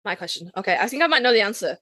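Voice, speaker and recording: A woman's voice: mid-range voice, expressive, racing, pushed and bright, no room sound. A woman co-hosting a casual chat, putting questions to a guest in informal language. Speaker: podcast co-host.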